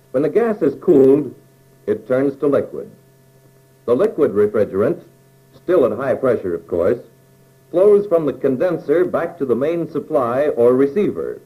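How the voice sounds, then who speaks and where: An American man reading scripted instructional narration for a training film in a measured, explanatory way, with plain phrasing. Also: mid-range voice, warm tone, poor audio.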